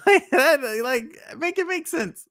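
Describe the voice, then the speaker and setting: Texan lady streamer chatting w her viewers, kinda high voice, dry close mic. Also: expressive, slow pace, a bit bright, no echo. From Texas. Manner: chatty, laughing, reads chat aloud. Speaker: streamer host. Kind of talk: casual live-stream chat.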